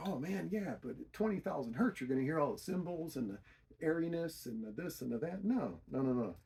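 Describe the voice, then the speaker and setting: A man's voice: on the high side, warm tone, husky. A middle-aged man giving a casual, unscripted DIY explanation, thinking aloud in a rambling, self-deprecating way. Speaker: DIY hi-fi hobbyist presenter.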